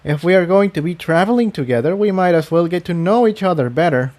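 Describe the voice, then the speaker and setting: A man voicing a warm, curious female pony sorceress in an earnest, wide-eyed manner for a story reading. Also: high voice, animated, fast talker, pitched above the performer's own voice. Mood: friendly.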